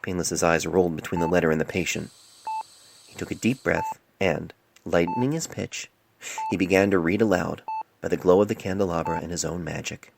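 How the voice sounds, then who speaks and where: Gravelly man narrating a somber story. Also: low voice, brisk, noisy background. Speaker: author reading own story. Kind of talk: dramatic scripted narration. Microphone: cell phone mic. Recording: homemade phone-mic recording with music. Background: music and sound effects.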